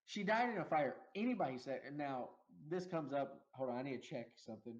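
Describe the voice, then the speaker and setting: A man co-hosting a casual movie review podcast, animated and laughing often as he acts out lines from the film. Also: medium pitch, brisk, lo-fi sound. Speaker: podcast co-host.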